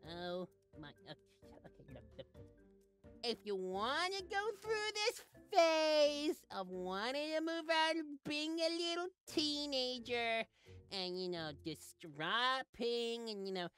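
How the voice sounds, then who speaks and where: A woman voicing a perky, teasing little cartoon sidekick in a comedy game series, chirpy, excitable and coaxing. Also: high voice, slow, faint music behind, heavily acted. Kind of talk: voice-acted comedy over gameplay.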